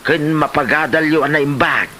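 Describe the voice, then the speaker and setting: A man playing a sober, advising older village father figure in a comedy drama, measured and admonishing, with a Filipino accent. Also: on the high side, steady tone, pushed and bright, slight background noise. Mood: admonishing, earnest. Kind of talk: Ilocano comedy drama acting.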